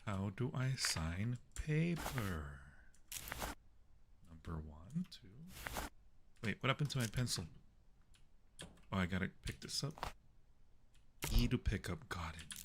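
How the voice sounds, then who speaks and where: A man casually commenting on a game for his stream viewers, playfully muttering to himself and reading prompts aloud. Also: fairly low pitch. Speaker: livestream host playing a horror game. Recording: clearly noisy background.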